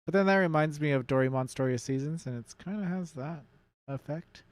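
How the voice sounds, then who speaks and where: A man's voice: fairly high pitch, brisk, dry recording. Casual, rambling male game streamer, dry sound. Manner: rambling, thinks aloud and swears casually; self-described nerdy enthusiast. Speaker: game streamer hosting a let's play. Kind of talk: casual live gaming commentary and chat.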